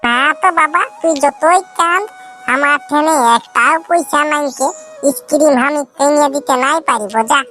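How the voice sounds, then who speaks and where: A man's voice: extremely high pitch, moderate pace, sharp tone, soft background music. A man voicing an exasperated, blunt village mother in a comedy cartoon, with a Purulia Bengali accent. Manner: scolding, blunt, grumbling. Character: exasperated, scolding village mother, quick to threaten.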